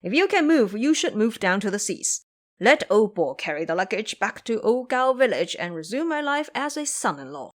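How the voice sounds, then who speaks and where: A woman's voice: medium pitch, sing-song, moderate pace, close to an everyday voice. A woman voicing a cowardly, lazy male pig-man who blusters and complains in an audio drama. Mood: panicky. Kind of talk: audio drama character acting.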